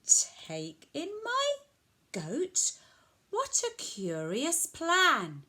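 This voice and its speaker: A woman voicing a flustered little old lady from a picture book, exclaiming and pleading in slow, drawn-out rhyme. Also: sits high, wildly swooping pitch, bright, forceful tone, pitched above the performer's own voice, heavily acted. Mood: puzzled, incredulous.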